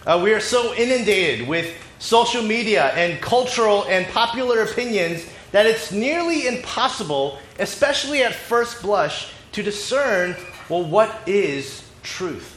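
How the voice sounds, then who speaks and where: A male pastor delivers a sermon in short, measured phrases, stopping often so his interpreter can follow. He is fighting a cold, and his fairly high voice rings through a reverberant church hall with faint room noise behind it. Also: sing-song, a bit bright. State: has a cold. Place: church sanctuary.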